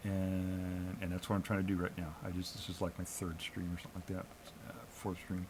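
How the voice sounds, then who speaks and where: An American man chatting casually and unscripted on a solo livestream, in a halting, hesitant, self-critical way. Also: sits low, monotone, slight background noise. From New England, US. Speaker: amateur live streamer.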